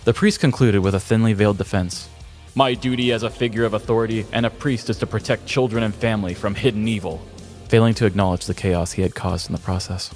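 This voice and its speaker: A man's voice: on the low side, fairly even intonation, fast talker, darkish tone, faint music behind. A man co-hosting a podcast, speaking in a storytelling and conversational style. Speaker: podcast co-host. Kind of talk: scripted story narration and casual banter.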